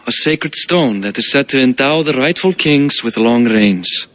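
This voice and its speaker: An actor playing a worldly, knowledgeable king in a cinematic video game, speaking in a measured, explanatory way. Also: medium pitch, lively intonation, a bit bright. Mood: measured, knowing. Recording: noisy ambience behind the voice.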